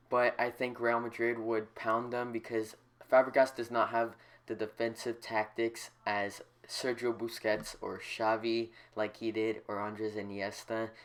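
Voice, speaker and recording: A congested man hosting a casual soccer talk show, explaining tactics and giving opinions at length. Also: on the low side. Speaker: host.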